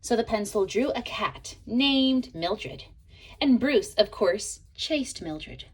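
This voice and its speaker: A woman reading a children's picture book aloud in an expressive way, as a teacher would to young listeners. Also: mid-range voice, slight background noise, poor audio. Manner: expressive, pauses to ask listeners questions. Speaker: storybook reader, teacher.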